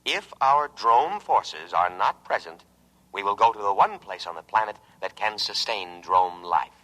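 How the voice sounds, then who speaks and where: Talking lifeboat computer, 1950s radio sci-fi, bright hard tone, formal, precise, officious, dutiful. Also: male voice, lowish voice, animated, a little slow, rough recording. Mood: grave, dutiful. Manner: formal, precise, patriotic, unyielding. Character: sentient military lifeboat machine, officious, dutiful, solicitous. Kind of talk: 1950s radio drama acting. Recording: vintage radio recording.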